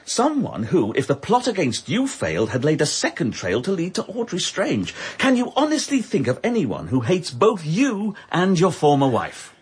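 An actor playing a formal, duty-bound police inspector in a radio drama. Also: male voice, on the high side, huge pitch swings, normal speed, few pauses, slight background noise. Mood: stern. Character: dutiful police inspector, stern and official. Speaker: Inspector Leach. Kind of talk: BBC radio drama acting.

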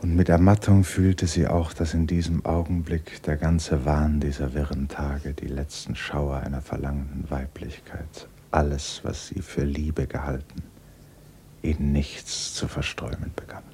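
A man narrating a literary radio play in a sombre, measured, restrained manner. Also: a bass voice, flat delivery, warm tone, slight background noise.

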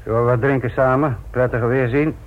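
A man's voice: mid-range voice, fairly even intonation, a little fast, close to an everyday voice. Man as weary, needling inspector; familiar and probing, radio play, thin narrow-band sound. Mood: teasing. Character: wry, needling male police inspector, ageing and weary. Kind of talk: radio drama acting.

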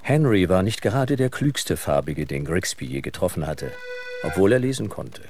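Male narrator, deep voice, scripted radio-play storytelling, prominent accordion backing. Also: very animated, normal speed, a little mellow. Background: accordion music.

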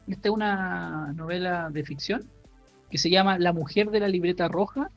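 A man co-hosting a podcast, casually recommending a book in a halting way, full of fillers and restarts. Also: high voice, dull audio. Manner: halting, many fillers and restarts while explaining. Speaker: podcast co-host.

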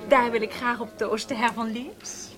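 High-voiced, gracious German bride, played by a woman. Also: fairly even intonation, normal speed, music in the background, natural voice. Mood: charmed, sociable. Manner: gracious, sociable. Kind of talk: radio drama acting.

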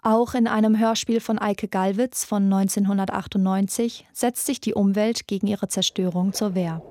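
A woman narrates a scripted documentary podcast. Her voice is soft-toned and mellow at an average pitch, recorded close and dry. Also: steady tone, normal speed, no echo.

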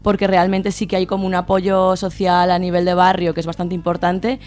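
A woman's voice: on the low side, completely flat delivery, fairly quick, a little mellow, faint music behind. A woman with an accent from Spain, an activist guest explaining things conversationally on radio, often checking in with tag questions. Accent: Spanish from Spain. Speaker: interview guest, neighbourhood activist.

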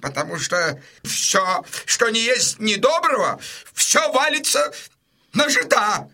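A wily, pleading innkeeper in an audio drama, with a high and piercingly bright male voice. He speaks slowly, swinging widely in pitch, with fussy hesitations and wailing, drawn-out words. His temper is anxious and scheming. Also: lively intonation, exaggerated voice. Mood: agitated, protesting. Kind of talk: full-cast audiobook dramatisation.

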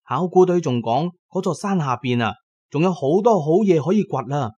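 A man's voice: a little high, animated, a little mellow, husky, lo-fi sound, pitched above the performer's own voice. A man voicing a young, eager, gossipy village accountant in an audio storybook, with a chatty way of telling news. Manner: chatty, drawn-out tale-telling with particles.

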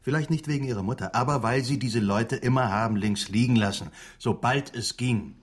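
An actor playing a blunt, probing interrogator in a radio drama, measured and skeptical. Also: male voice, mid-range voice, breathy edge, lightly acted. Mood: accusing, dry. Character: blunt, probing male interrogator confronting a woman doctor.

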